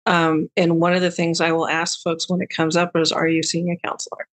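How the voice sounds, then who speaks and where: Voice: medium pitch, monotone, brisk, fairly bright tone. A podcast co-host talking candidly and casually, with frequent "um"s and offhand swearing. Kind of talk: casual chat.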